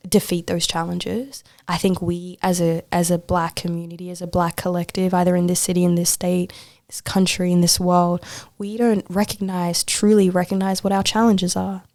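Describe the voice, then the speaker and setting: A woman's voice: lowish voice, level tone, normal speed, creaky, darkish tone, no room sound, clean studio sound. A woman chatting casually as an interview guest, informal and emphatic, repeating phrases for stress. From Australia. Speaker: podcast guest, poet and social worker.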